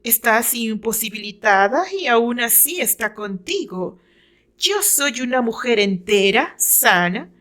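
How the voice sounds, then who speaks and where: A woman's voice: on the high side, animated, a little slow, a bit bright. A woman voicing a jealous, heartbroken but defiant woman in a radio drama, speaking emphatically. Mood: desperate, defiant.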